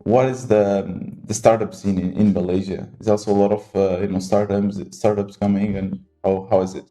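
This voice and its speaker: Mellow, low-pitched male podcast host. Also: level tone, a little fast, soft background music. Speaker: podcast host interviewing startup founders. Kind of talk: casual interview introduction.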